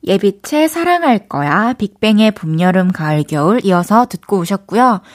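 A young woman DJ with a light Daegu lilt chats her way through listener letters on the radio. She is playful, thinks out loud, and now and then changes her mind mid-thought. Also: medium pitch, sing-song, moderate pace. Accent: Daegu Gyeongsang Korean. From Daegu, South Korea. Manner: chatty, playful, thinks aloud. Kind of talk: casual radio chat reading listener letters.

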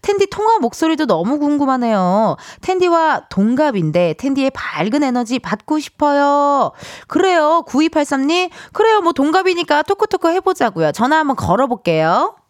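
A woman in her thirties hosting a casual radio show in a studio, chatting with listeners in a playful, teasing, animated manner. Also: high voice, moderate pace, hardly any pauses, dry recording. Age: 30s. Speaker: radio host. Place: broadcast studio.

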